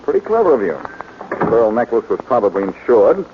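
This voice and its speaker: A man playing a wry, cocky gentleman crook. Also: mid-range voice, a little fast, lightly acted. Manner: cool, sardonic, lively delivery. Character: wry, confident reformed-thief sleuth. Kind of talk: 1940s radio acting. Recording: muffled old radio transfer with hiss.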